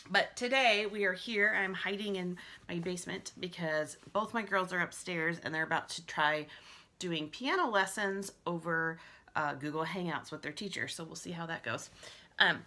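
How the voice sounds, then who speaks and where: A woman's voice: fairly low pitch, moderate pace. A woman librarian talking casually to children and reading a picture book aloud, expressively acting out sounds. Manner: expressive, acts out sounds and lines. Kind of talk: friendly casual talk and animated picture-book read-aloud. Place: basement at home.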